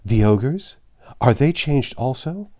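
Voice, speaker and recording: A man's voice: mid-range voice, expressive, takes it slowly, warm tone, voice pushed up. A man reading a storybook part as an earnest, adoring young medieval damsel with reverent, archaic speech. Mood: fearful awe.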